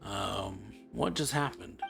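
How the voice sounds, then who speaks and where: A man doing a casual let's play, reading game dialogue aloud in a halting, offhand way. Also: on the low side, creaky. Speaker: let's player. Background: game music.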